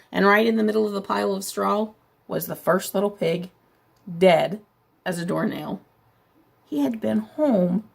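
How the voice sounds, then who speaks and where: A woman voicing a smooth-talking male wolf who pleads his innocence in a storybook, with a gravelly, husky voice and a chatty, persuasive manner. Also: mid-range voice, full character voice.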